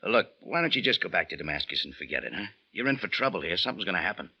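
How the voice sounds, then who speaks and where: An actor playing a tough, wry American cafe owner in an old radio detective drama, speaking in clipped, sardonic slang. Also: male voice, sits low, very animated, rapid-fire, gravelly, sharp tone, breathy edge, exaggerated voice. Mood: blunt, dismissive. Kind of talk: 1940s-50s radio detective acting with narration. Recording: dull old radio recording with music.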